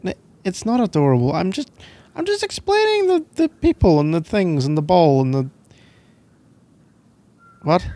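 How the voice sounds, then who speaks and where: Young scottish guy doing boyfriend asmr, bit high voiced, croaky, chatty and teasing. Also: big pitch swings, normal speed, long pauses, dark tone. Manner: playful, teasing, rambling. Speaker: ASMR roleplay performer. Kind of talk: casual boyfriend roleplay ASMR. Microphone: close microphone, dry sound.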